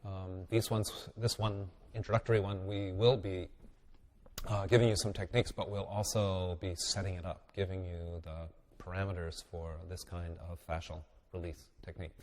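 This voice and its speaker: Low-voiced man with a nasal cold. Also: normal speed, slight background noise. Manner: relaxed, musing, with fillers and wry asides. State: congested, slightly nasal from a cold. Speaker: bodywork teacher. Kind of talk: instructional webinar talk.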